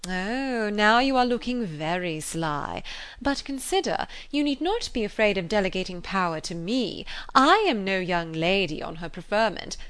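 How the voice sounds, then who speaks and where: A woman's voice: mid-range voice, animated, fairly bright tone, clear, resonant tone, natural voice. A woman voicing a pompous, chattering married society lady who gushes and drags out her emphasis. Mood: gushing. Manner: gushing, self-important, drawn-out emphasis. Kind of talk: audiobook character reading.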